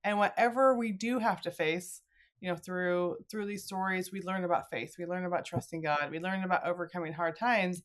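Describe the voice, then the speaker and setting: A woman's voice: lowish voice, steady tone, moderate pace. A woman hosting a conversational podcast interview in an effusive, agreeable manner. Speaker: podcast host.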